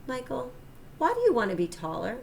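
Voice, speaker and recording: A caring, earnest storybook dad, voiced by a woman reader, gently teaching and encouraging a young child. The recording carries some noise. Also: fairly high pitch, huge pitch swings, fairly quick, vocal fry, voice pushed up, heavily acted. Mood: concerned.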